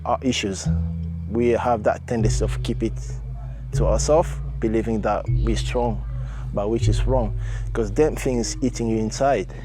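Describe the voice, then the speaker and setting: A man's voice: lowish voice, fairly even intonation, a little slow. Earnest man speaking over music. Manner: earnest, short emphatic phrases, repeats for stress. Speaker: interviewee in a documentary. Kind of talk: earnest interview talk.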